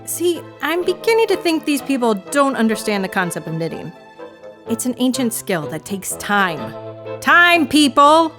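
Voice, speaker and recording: A woman's voice: high voice, very animated, measured pace, music in the background. An actress playing a frazzled, wry woman knitter, telling her story in a confiding, sarcastic, exasperated monologue. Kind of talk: audio drama monologue, conversational storytelling to listener.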